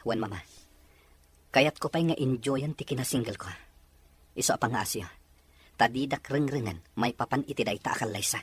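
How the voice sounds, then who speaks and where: A man's voice: medium pitch, fairly quick, pauses often, slightly rough. A man playing a stubborn, lovestruck rich young man in a melodramatic audio drama, speaking earnestly and firmly. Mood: defiant. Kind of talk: melodramatic radio-style drama acting. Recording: noisy track with background ambience.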